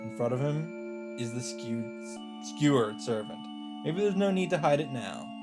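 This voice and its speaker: A man with a mid-pitched voice reads visual novel narration aloud as the host of a let's play. His delivery is that of someone narrating a story from the screen. Faint game music plays behind him. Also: expressive, a little slow.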